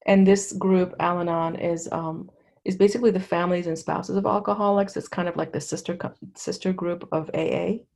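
A middle-aged woman from Lebanon giving candid personal testimony as an interview guest, in a halting, conversational way. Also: fairly low pitch, fairly even intonation, gravelly, darkish tone.

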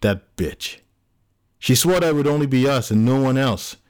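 A man's voice: mid-range voice, expressive, moderate pace, a little mellow, no echo, studio quality, voice pushed up, natural voice. A man voicing an anguished, volatile grieving man in a dramatic audiobook story. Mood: agitated, demanding. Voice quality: gruff when dejected. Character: anguished, volatile man in grief, quick to rage. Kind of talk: dramatic audiobook character acting.

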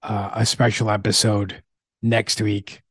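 A man's voice: fairly low pitch, flat delivery, fairly bright tone, breathy edge, dry recording. Casual male podcast host, dry close sound. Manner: halting, repeats words.

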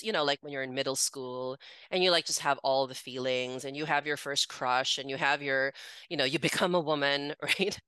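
A woman's voice: sits low, sharp tone, clean tone, no echo. A woman in her forties from the Philippines, a podcast guest candidly telling her life story in a chatty way. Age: 40s. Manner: candid, chatty, many "like" fillers, laughs easily.

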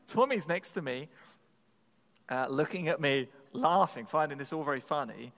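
A man's voice: a little high, animated, moderate pace, a bit bright, long reverb tail. Man telling a sermon story. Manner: conversational, self-deprecating, with repeated restarts. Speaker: pastor.

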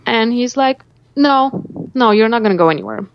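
A woman's voice: on the high side, moderate pace, dull audio, slight background noise. A woman with a Russian accent telling reflective personal stories as an interview guest, often voicing her own thoughts aloud. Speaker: life coach, podcast guest.

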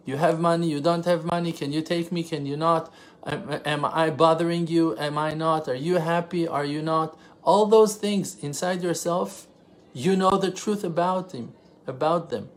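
A man's voice: a little high, steady tone. Israeli man giving an earnest religious lesson. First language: Hebrew. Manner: earnest, emphatic, rhetorical questions; halting, pauses and self-corrections while translating. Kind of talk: spontaneous religious teaching.

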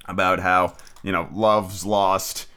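A man's voice: deep voice, little pitch movement, slow pace, sharp tone, smooth. A man hosting his own podcast in a casual, rambling solo chat, full of fillers and restarts. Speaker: podcast host.

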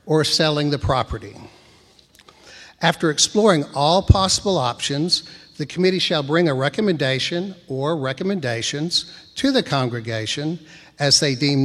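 Man, soft-spoken, measured report reading, higher voice, long church reverb. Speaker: church committee member presenting. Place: church sanctuary. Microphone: podium microphone.

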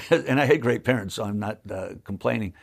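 A man's voice: lowish voice, a little fast, vocal fry. A man in his late sixties, a magician guest on a podcast, chatting and telling rambling stories with frequent laughs. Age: 60s. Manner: chatty, rambling storyteller who laughs often. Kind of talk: casual conversational interview.

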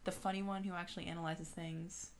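A woman's voice: fairly low pitch, level tone, fast. A woman co-hosting a podcast, chatting casually about books in an informal way. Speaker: podcast co-host. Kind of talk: casual book discussion.

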